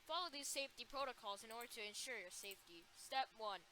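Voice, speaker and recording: Woman doing a flustered emergency news announcer, kinda high bright voice, keeps tripping over lines, homemade audio drama. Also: lively intonation. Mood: urgent, instructing. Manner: halting, stumbling, restarting phrases. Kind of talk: amateur scripted emergency broadcast acting.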